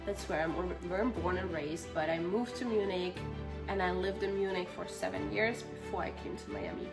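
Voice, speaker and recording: A woman's voice: on the low side, fairly even intonation, normal speed, creaky, over loud music. Chatty woman with a German accent. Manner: chatty, laughs often, searches for words. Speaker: guest, nonprofit founder. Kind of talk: casual interview.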